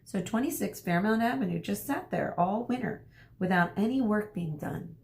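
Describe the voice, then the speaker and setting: A woman speaking as an elementary school teacher, reading a story aloud to children and pausing to explain things. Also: medium pitch, expressive, a little mellow. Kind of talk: read-aloud lesson for children.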